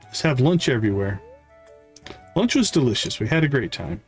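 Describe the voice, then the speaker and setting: A man's voice: medium pitch, very animated, moderate pace, husky. A man giving casual gameplay commentary as a game streamer. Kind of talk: casual gameplay commentary, reading dialogue aloud. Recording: music playing behind the voice. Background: game music.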